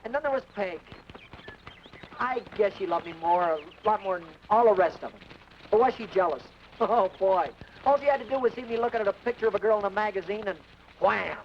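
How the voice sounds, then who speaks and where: A chatty young greenhorn. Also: male voice, high voice, fairly quick. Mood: joking. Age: young adult. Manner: rambling, joking, laughs at himself. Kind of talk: 1950s western film dialogue. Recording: dull old film soundtrack with hiss.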